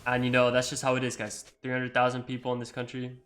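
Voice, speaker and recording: Young adult man, American accent, bright voice, casual rambling gameplay commentary. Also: mid-range voice, completely flat delivery, quick pace, a little hiss or hum. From Iceland. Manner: rambling, full of likes and you-knows. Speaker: gamer and video creator.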